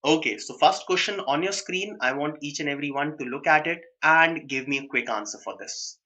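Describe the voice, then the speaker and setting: Bright-voiced Indian man teaching live class. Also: on the high side. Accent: Indian English. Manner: mixes English with quick Hindi asides, addresses students by name. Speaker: online exam-prep teacher. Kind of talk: live online class lecture.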